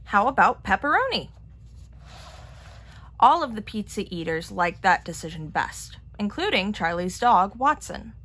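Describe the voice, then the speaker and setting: A woman reading a children's picture book aloud in an expressive manner. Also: mid-range voice, unhurried. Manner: expressive, acting out characters' lines. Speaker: storybook reader.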